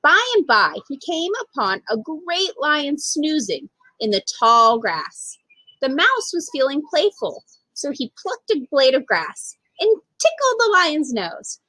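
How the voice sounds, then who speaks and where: A woman reading a children's story aloud as an expressive storytime narrator. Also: high-pitched, takes it slowly, dry recording, poor audio. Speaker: storytime reader.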